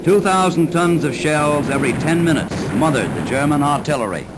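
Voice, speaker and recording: Male wartime newsreel reader, raised pitch, formal 1940s declamation, loud noise on a vintage track. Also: expressive, brisk. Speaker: newsreel narrator.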